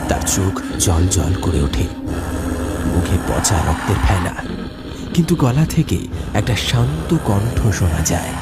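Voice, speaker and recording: Male narrator for dramatic horror audio tale: fairly low, lively intonation, over loud music. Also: measured pace.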